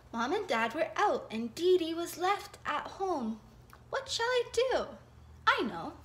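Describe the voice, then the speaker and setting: A young woman telling a felt board story for children at home, expressive and sing-song, with gasps and whispers, recorded on a phone held over the board. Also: sits high. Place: home living room, indoors. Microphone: phone camera held over the felt board.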